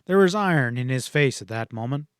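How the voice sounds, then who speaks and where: A man's voice: medium pitch, expressive, brisk, clean tone, no room sound, studio quality. A man reading a western novel aloud as scripted audiobook narration.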